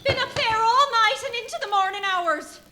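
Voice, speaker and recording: Aubergiste hystérique, voix très aiguë. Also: female voice, very high-pitched, normal speed, very noisy. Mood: hysterical, fearful. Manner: rustic, exclamatory. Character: superstitious, indignant village innkeeper woman, prone to hysterics. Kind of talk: live radio play acting.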